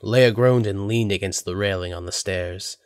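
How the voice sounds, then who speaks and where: A man's voice: lowish voice, moderate pace, darkish tone, no echo, studio quality. A man narrating a scripted audio drama as its storyteller.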